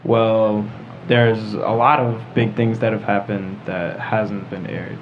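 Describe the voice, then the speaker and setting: A man's voice: lowish voice, level tone, slow, over music. Muffled teenage American male podcast host. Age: teens. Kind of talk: casual group discussion. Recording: muffled lo-fi podcast with clear background noise.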